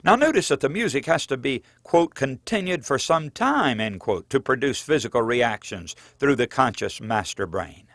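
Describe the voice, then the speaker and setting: A man reading his own book aloud as scripted audiobook narration, in an earnest, measured preaching cadence. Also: medium pitch, sing-song, fairly bright tone, no echo.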